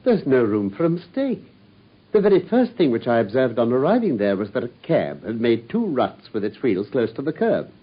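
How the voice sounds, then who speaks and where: A man's voice: medium pitch, sing-song, normal speed, husky. A man playing a brilliant, cool-headed detective who speaks with precise, ironic politeness in a radio drama. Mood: explaining. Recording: dull lo-fi radio broadcast.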